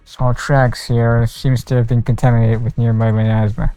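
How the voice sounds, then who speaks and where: A man with a smooth, clear voice streams a game, reading dialogue aloud informally. He sits close to the mic in a dry space, with music playing behind him. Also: medium pitch, little pitch movement, normal speed, dark tone, dry recording. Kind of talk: live playthrough, reading dialogue aloud with reactions. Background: game music, faint.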